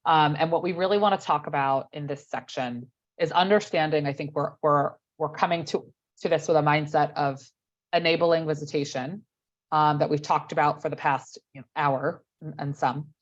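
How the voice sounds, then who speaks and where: A woman with a low voice, a little hoarse from a cold, steers an online meeting in a calm, polite way. Her audio comes through a dull, lo-fi video call. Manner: measured, courteous, with frequent ums. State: has a cold, losing her voice. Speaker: meeting facilitator. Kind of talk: facilitating an online policy meeting.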